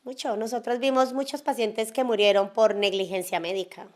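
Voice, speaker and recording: A woman with a Colombian accent from Cali, a guest in a podcast interview telling her story frankly in a relaxed way, with a lot of fillers. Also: on the high side, moderate pace. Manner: frank, relaxed, many fillers and little asides. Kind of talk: casual conversational storytelling.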